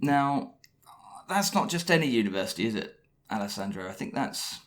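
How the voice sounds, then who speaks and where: A man hosting a podcast, asking a guest a list of questions in a brisk, lightly teasing way. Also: mid-range voice, very animated, a bit bright. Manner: brisk, scripted intro then questions. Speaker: podcast host. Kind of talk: podcast interview.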